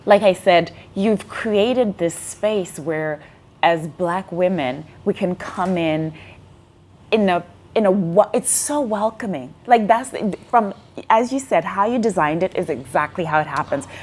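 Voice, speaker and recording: A woman's voice: on the low side, a little slow, a little mellow. A woman hosting an interview, effusive and grateful, often repeating her words. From Zimbabwe. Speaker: interviewer, host. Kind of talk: warm conversational interview.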